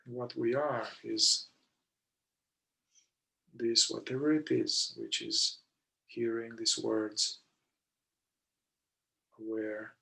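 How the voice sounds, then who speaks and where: Slow, creaky-voiced male meditation guide. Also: mid-range voice, lively intonation, bright, forceful tone, rough recording. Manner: unhurried, deliberate, long pauses between short phrases. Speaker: meditation guide, somatic therapist.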